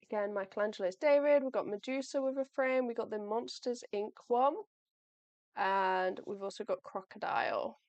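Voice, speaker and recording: A woman's voice: mid-range voice, moderate pace, a little mellow, dry recording, muffled recording. A young woman live-streaming as she packs shop orders. She talks in a chatty, friendly way, thinks aloud and apologizes often, and her voice is wearing out. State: losing her voice. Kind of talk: casual livestream chat. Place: home workspace, packing orders.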